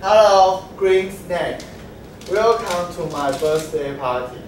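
A young man playing an eager little frog child, reading his lines slowly in a readers' theatre. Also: high-pitched, lively intonation, a little hiss or hum. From Taiwan. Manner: slow, deliberate reading from a script. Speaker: student reader. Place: large classroom, standing in a row. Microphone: far camera. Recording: very echoey classroom from far off.

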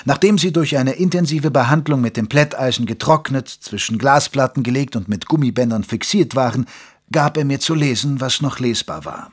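An actor playing a detective's gentleman companion, narrating an audio drama in a measured, formal storytelling manner. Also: medium pitch, slightly rough, close to an everyday voice. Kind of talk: scripted first-person narration in an audio drama.

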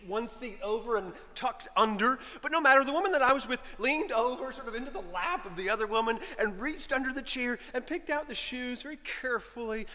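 A middle-aged man, a priest, telling a story in a sermon from the pulpit, animated and shifting between loud lines and hushed whispers. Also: sits high. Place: large stone church, speaking from a pulpit. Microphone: pulpit microphone. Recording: long church reverb.

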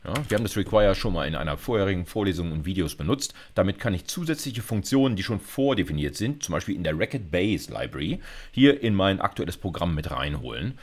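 A man's voice: deep voice, sing-song, quick pace. A man in his fifties giving an informal, conversational screencast lecture at his computer, checking in often as he explains. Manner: conversational, with frequent "okay" and "ja" check-ins. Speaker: university computer science lecturer. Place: indoors, recorded at a computer desk.

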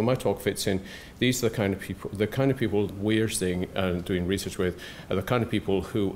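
A middle-aged soft-spoken man giving a conference lecture at a podium, hesitant with many fillers and restarts and dry asides. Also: low-pitched, slight background noise. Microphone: podium microphone.

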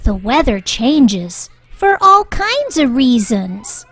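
High, lively woman reading a children's story. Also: husky, dry recording. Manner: deliberate, rhyming sing-song delivery. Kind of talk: expressive children's read-aloud narration. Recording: music bed under the voice.

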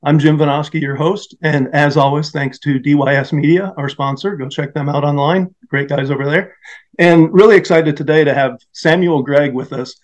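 A man's voice: a little high, fairly even intonation, normal speed. A middle-aged man hosting an interview podcast, talking in a friendly, upbeat way from a home office. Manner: friendly, upbeat, with fillers and restarts. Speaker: podcast host. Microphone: podcast mic on a video call. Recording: slightly dull, lo-fi sound.

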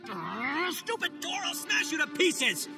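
A man voicing a boisterous young oni gang leader in a game, loud and swaggering. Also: very high voice, big pitch swings, measured pace, creaky, loud music behind the voice, exaggerated voice. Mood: angry. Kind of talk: game cutscene voice acting.

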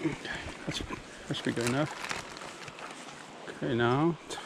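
Clear water noise surrounds this young man, who is outdoors by a river. His voice sits at a medium pitch. He talks casually and informally, like a vlogger showing viewers what he is doing, often in short exclamations. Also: fairly even intonation, moderate pace. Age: young adult. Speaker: vlogger, fishing and cooking channel host. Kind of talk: casual outdoor vlog narration. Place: outdoors, wading in a wooded river. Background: stream water and ambient noise.

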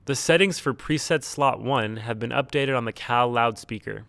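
Dry, measured male tutorial narrator. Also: mid-range voice, vocal fry, fairly bright tone, no echo. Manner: measured, neutral step-by-step explaining. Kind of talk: scripted instructional narration. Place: close, dry studio-style voice-over recording.

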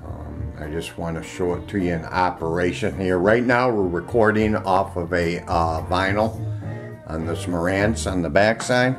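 A man giving a casual, unscripted product demonstration in a plainspoken, halting way from behind a handheld camera indoors. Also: deep voice. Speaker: online seller demonstrating an item. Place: indoors, room in a home. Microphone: handheld camera, speaker off screen behind it. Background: music playing from audio equipment.

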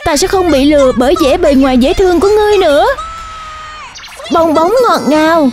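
A woman voicing an earnest, gentle but determined young superhero girl in a dubbed children's cartoon. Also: high voice, fairly quick, over music, close to an everyday voice.

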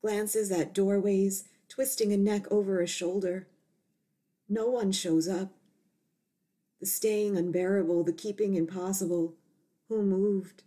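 A woman poet reading her poetry aloud in short, deliberate phrases with pauses. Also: medium pitch, fairly even intonation, warm tone.

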